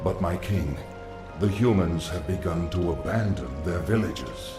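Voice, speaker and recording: An actor voicing a loyal, solemn undead sorcerer adviser in a video game cutscene, formal and deferential. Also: male voice, sits low, measured pace. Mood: deferential, concerned. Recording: echoing voice with music behind.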